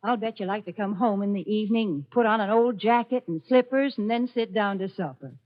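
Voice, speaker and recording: A woman's voice: medium pitch, fairly quick, lightly acted. An American woman playing a cheery, wholesome radio saleswoman, delivering homely 1940s advertising banter. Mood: cheery, coaxing. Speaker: sponsor announcer. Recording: muffled 1940s radio broadcast.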